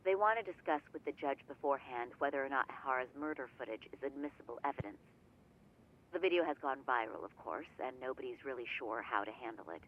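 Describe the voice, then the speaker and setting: A man's voice: medium pitch, expressive, fast talker, highs cut off, a little hiss or hum, close to an everyday voice. An actor voicing a polite, formal male defense lawyer in a video game, speaking courteously and apologetically.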